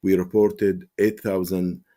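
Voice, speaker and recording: A man's voice: low voice, little pitch movement, slow pace, dark tone. A man from Palestine, an advocacy spokesman answering in a TV interview, earnest and impassioned with frequent fillers and restarts.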